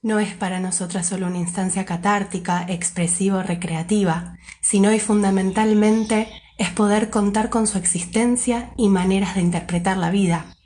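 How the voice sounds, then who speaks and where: A woman with a medium-pitched voice reads out a prepared presentation as a member of an association. The delivery is formal and explanatory, in complete written sentences. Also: steady tone, normal speed, warm tone. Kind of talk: scripted presentation.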